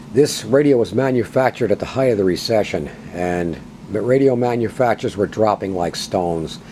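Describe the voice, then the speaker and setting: A man's voice: lowish voice, normal speed, a little hiss or hum. An older man, a longtime radio collector, talking casually with many hesitations as he shows an antique radio at home. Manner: relaxed, folksy, many uhs. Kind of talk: casual show-and-tell.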